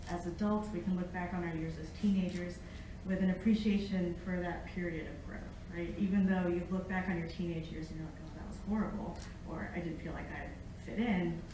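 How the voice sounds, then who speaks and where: A middle-aged American woman speaks to a room in an informal lecture. Her delivery is conversational, with a medium pitch, and she is picked up from a distance in a very echoey space with faint noise. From United States, born in Japan. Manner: conversational, engages the audience with questions. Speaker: academic guest speaker, Latin researcher. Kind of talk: informal public lecture with personal storytelling.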